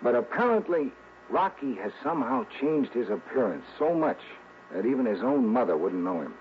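A man acting in a 1960s radio drama as a businesslike contact who briefs an investigator in a deliberate, confiding way. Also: mid-range voice, sing-song, a little hiss or hum, lightly acted. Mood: cautioning. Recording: very muffled old radio broadcast.

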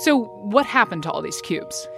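A woman's voice: mid-range voice, big pitch swings, moderate pace, vocal fry, music in the background. A woman hosting a podcast in a casual, playful, informal chat style. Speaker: podcast host.

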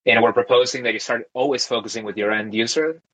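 A young man from Argentina, a guest talking casually in an interview, relaxed and talkative with frequent fillers and restarts. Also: medium pitch, fast talker, rough recording. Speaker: guest, tech founder.

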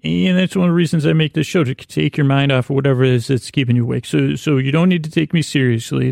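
A man with a creaky voice hosting a bedtime podcast in a meandering, improvised monologue, halting and often trailing off mid-sentence. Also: mid-range voice, a little mellow, dry recording, studio quality. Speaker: podcast host.

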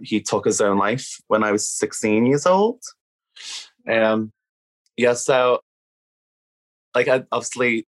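A woman from Liverpool with a thick Scouse accent, talking candidly and casually as an interview guest. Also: a bass voice. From Liverpool, England. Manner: candid, peppers speech with "like". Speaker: podcast guest, activist. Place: at home.

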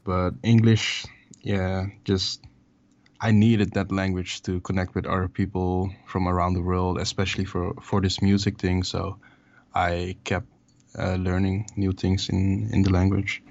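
A man in his twenties from the Netherlands with a Dutch accent, speaking English as a second language in a relaxed, halting way full of fillers. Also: sits low, vocal fry, no echo, highs cut off. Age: 20s. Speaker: musician guest. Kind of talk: casual interview over a call. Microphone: call audio.

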